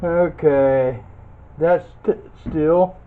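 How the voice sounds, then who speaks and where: A man's voice: fairly high pitch, very slow, clear, resonant tone. A man giving a casual hands-on demonstration as a video host, folksy and thinking aloud while he works. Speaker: video host demonstrating scrap metal teardown. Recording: dull lo-fi sound, faint noise and clicks.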